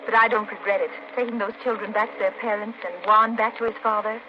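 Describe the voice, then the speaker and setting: An actress as a brave, melodramatic radio heroine. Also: female voice, medium pitch, little pitch movement, quick pace, background noise, lightly acted. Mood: weary, reflective. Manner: melodramatic, earnest. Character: brave but frightened young woman, the hero's companion. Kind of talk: 1930s radio acting. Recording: muffled, echoing 1930s radio recording.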